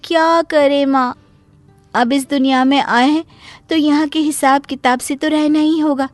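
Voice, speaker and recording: A woman's voice: high voice, steady tone, unhurried, no room sound, faint music behind. A woman voicing a lazy, spoiled college-age daughter in a Hindi cartoon, self-absorbed and sulky, with a whiny drawl. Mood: languid. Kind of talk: Hindi cartoon moral story acting.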